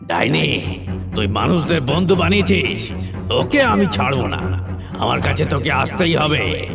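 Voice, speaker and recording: A man voicing a menacing, vengeful demon master with a gravelly voice in a children's cartoon. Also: a little high, very animated, a little slow, music in the background, heavily acted. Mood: threatening.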